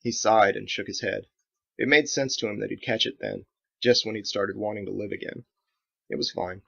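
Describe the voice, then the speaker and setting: A man's voice: fairly low pitch, moderate pace, vocal fry, a bit bright. A man reading his own dramatic action novel as scripted audiobook narration, in a slightly dull recording.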